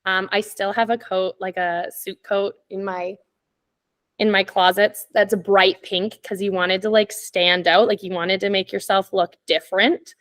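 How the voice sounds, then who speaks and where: A woman in her twenties from Canada, a podcast guest telling stories in casual chat, laughing often and filling pauses with "like" and "um". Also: medium pitch, level tone, fairly bright tone. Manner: chatty, laughs often, many "like" and "um" fillers.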